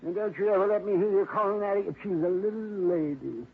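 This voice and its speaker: An actor playing a gruff, quick-tempered older man who owns a prized dog, in 1950s radio drama style, heard through a tinny old broadcast. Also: sits high. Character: blustering older man, rich dog owner.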